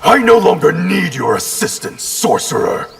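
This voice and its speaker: An actor voicing a stern, cold, distrustful ninja warrior in a video game, terse and commanding. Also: male voice, a little high, huge pitch swings, normal speed, gravelly, loud music behind the voice, full character voice. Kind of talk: video game character dialogue.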